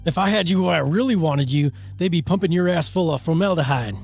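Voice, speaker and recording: A man playing a gruff, foul-mouthed, stern sheriff and father in an amateur radio play reading. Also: on the high side, fast, darkish tone, faint music behind. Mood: angry, sarcastic.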